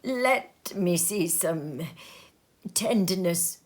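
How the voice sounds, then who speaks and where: A shaken old miser from a storybook, acted out by a woman reader. He pleads slowly and solemnly, halting between words. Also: fairly low pitch, animated, heavily acted. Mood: pleading.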